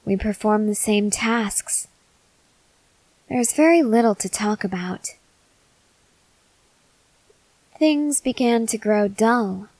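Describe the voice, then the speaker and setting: Female vampire lover for ASMR roleplay, played by a woman: possessive, softly threatening, unhurried delivery with whispered touches, dry close-mic sound. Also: medium pitch, dry recording, low-quality recording. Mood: controlled, wistful. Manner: slow, measured, intimate, with breathy whispered moments. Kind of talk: ASMR roleplay acting, addressed to the listener.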